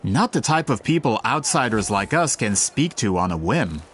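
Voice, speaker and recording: A man's voice: on the low side, big pitch swings, moderate pace, fairly bright tone, a little hiss or hum. A man voicing a polite, careful male story collector in a video game. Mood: earnest. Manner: polite, hesitant.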